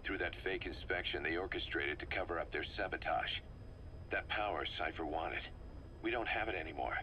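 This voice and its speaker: A man's voice: low-pitched, fairly quick, sharp tone, slight background noise, low-quality recording. An actor playing a bitter middle-aged mercenary commander in a video game, briefing his boss in a terse, intense way. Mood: grim, bitter. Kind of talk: dramatic video game acting, expository briefing.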